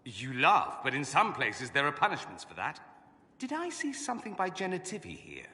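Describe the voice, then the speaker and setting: An arch witty mage for a video game, eloquent, really animated, echoing big hall. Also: male voice, a little high, normal speed, heavily acted. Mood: sardonic. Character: witty, theatrical, self-assured male mage scholar from abroad. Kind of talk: video game cutscene acting. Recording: long hall reverb.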